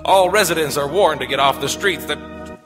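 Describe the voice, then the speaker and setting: An actor playing a grave news announcer reading a bulletin in 1940s radio style. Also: male voice, a little high, moderate pace, lightly acted. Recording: live stage hall reverb, loud music.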